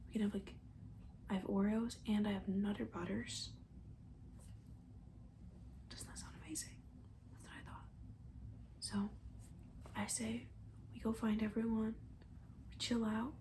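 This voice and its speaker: A woman playing a comforting young summer camp counselor in an ASMR roleplay, talking softly and near a whisper in a casual, rambling way. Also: medium pitch, little pitch movement, measured pace, long pauses, a little hiss or hum, lightly acted. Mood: reassuring, hushed. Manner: hushed, rambling, casual, reassuring.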